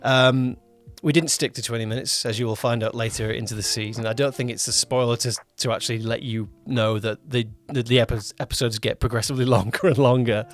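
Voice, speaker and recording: A man's voice: mid-range voice, steady tone, a little fast, hardly any pauses, soft background music. A man co-hosting a casual behind-the-scenes podcast as a producer, rambling and often rewording himself mid-sentence. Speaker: podcast co-host, audio drama producer-director.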